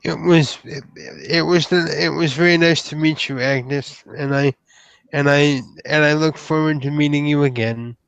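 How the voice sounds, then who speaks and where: Shy, slow-speaking troll lad, played by a man. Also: fairly high pitch, fairly even intonation, creaky, fairly bright tone, clear, resonant tone, no room sound, poor audio. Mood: polite. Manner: halting, hesitant, formal wording. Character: shy, polite, lovelorn young male troll named Sam.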